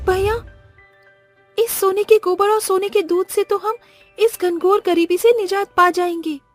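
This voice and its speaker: A woman voicing a poor village girl, an earnest and wide-eyed little sister in an animated moral story. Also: extremely high pitch, measured pace, soft background music. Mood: hopeful.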